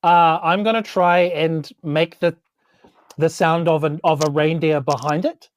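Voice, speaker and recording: A woman's voice: low-pitched, fairly even intonation, unhurried, dry recording. A woman with a New Zealand accent chats casually as a player in a tabletop role-playing game. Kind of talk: casual improvised game chat.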